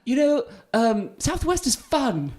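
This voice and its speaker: A man's voice: very high-pitched, very animated, slow, darkish tone, exaggerated voice. A man playing a small, earnest animal guest who wants to act, with stiff formal wording. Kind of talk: improvised comedy podcast.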